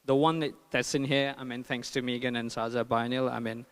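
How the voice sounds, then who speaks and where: A man from eastern Nepal with a Nepali accent gives an informal conference talk as an artist, haltingly searching for words. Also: mid-range voice, fairly even intonation, fairly bright tone. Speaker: artist and filmmaker presenting his work. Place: large hall at a public talk. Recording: reverberant hall.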